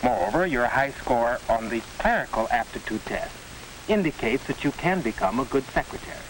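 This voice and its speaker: An American actor playing a reassuring school counselor in a 1940s instructional film, with formal, deliberate, didactic delivery. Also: male voice, mid-range voice, animated, lightly acted. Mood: measured, reassuring. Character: measured, reassuring male school vocational counselor. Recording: noisy, hissy 1940s film soundtrack.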